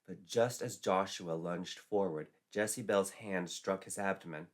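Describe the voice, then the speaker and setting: A man's voice: low voice, unhurried. A man narrating a folklore tale as a storyteller, in a dramatic scripted style.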